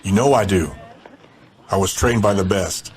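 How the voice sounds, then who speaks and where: A man's voice: deep voice, unhurried, a little hiss or hum. A man playing a ruthless, confident gang leader in an audio drama, commanding and menacing. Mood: resolute.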